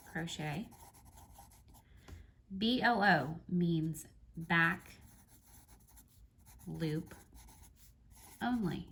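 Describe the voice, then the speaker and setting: A woman from North Carolina, hoarse and stuffy from allergies, explaining crochet abbreviations in a casual tutorial. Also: fairly low pitch, a bit bright, slight background noise. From North Carolina, United States. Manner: slow, careful spelling out of abbreviations. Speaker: crochet teacher.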